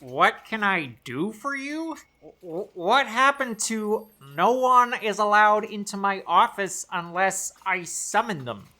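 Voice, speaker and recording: A woman's voice: medium pitch, expressive, slow pace, hardly any pauses, bright, forceful tone. An actress playing an exasperated, officious female copy assistant in a comedic audio drama, dry and pedantic. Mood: flustered.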